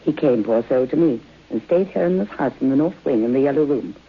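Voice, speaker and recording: Coyly sinister older widow, tinny radio. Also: female voice, a bass voice, expressive, a little fast, breathy edge, full character voice. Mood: ominous, dramatic. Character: genteel older widow, coyly sinister hostess of a haunted house. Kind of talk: old radio horror play acting. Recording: muffled, phone-like old radio recording.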